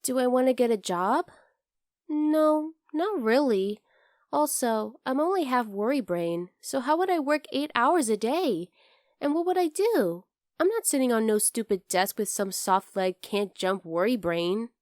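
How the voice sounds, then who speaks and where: Woman voicing a high-pitched, playful young bunny girl. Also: lively intonation, measured pace, darkish tone, no echo, clean studio sound. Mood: stubborn, playful. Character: teasing, outdoorsy young bunny girl, curious about humans. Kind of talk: ASMR roleplay addressed to the listener.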